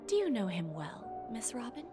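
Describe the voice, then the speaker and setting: A woman voicing a poised, coolly seductive dealmaker in a video game, measured and formal. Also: on the high side, huge pitch swings. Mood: smooth, probing. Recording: music under the voice.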